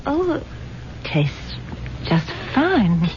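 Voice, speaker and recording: Slow-spoken genteel wife, old radio. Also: female voice, medium pitch, very animated, heavy background noise. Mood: fond, flattered. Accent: American. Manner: genteel, deliberate, theatrical. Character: wealthy, dreamy, trusting wife drawn to mysticism. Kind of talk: 1970s radio drama acting. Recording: dull 1970s radio broadcast, loud music.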